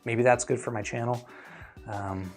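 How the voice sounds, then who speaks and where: A man hosts a casual live stream in a mid-pitched voice, with music playing behind him. He explains his thinking conversationally, as if chatting with his viewers, and often checks in with them. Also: fairly even intonation, a little slow, darkish tone. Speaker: host of an earphone review live stream.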